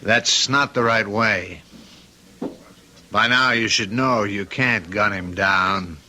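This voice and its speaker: An actor playing a plain-spoken police sergeant in an old radio drama, direct, knowing and admonishing. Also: male voice, on the low side, takes it slowly, bright, forceful tone, slight background noise, exaggerated voice. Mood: wry, warning.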